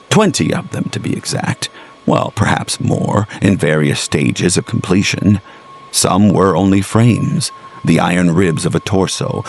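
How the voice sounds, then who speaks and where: Deep-voiced man narrating gothic horror, creaky. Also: a little mellow, a little hiss or hum. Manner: dramatic, deliberate, literary storytelling with weighted phrases. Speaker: storyteller, narrator. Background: music under the narration.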